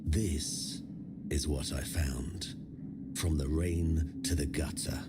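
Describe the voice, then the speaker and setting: An actor playing a weary, haunted lone survivor in a dark fantasy game, brooding and theatrical, with hushed, breathy phrase endings. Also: male voice, very deep voice, steady tone, takes it slowly, slight background noise. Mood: solemn, brooding.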